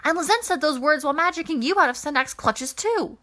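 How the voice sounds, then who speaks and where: A woman voicing an excitable young space hero in an audiobook, blurting his words loudly and emphatically. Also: high voice, brisk, pitched above the performer's own voice. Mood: yelping. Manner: loud, yelping, emphatic.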